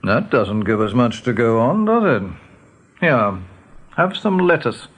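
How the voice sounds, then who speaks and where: A man's voice: mid-range voice, lively intonation. An actor playing an old male rabbit chief in a radio drama, polite, patronising and faintly pompous. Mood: polite, condescending. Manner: courtly, patronising, deliberate.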